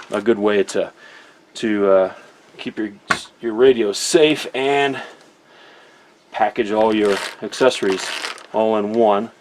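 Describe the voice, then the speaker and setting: A man with a fairly low voice talks through a product hands-on. His delivery is informal and conversational, like an easygoing reviewer chatting to viewers. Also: slight background noise.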